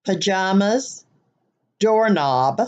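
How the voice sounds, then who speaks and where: A woman's voice: medium pitch, expressive, extremely slow, darkish tone, lo-fi sound. An older woman with a mixed Southern and Wisconsin nasal accent, chatting casually and a bit rambly to her webcam from her living room couch. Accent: Southern US mixed with Wisconsin nasal twang. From American Midwest. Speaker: vlogger. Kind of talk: casual chat, accent tag video.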